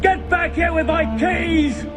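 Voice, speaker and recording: A man acting an outraged townsman in a video game, shouting shrilly. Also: very high-pitched, level tone, takes it slowly, over music. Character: outraged shrieking townsman robbed of his keys.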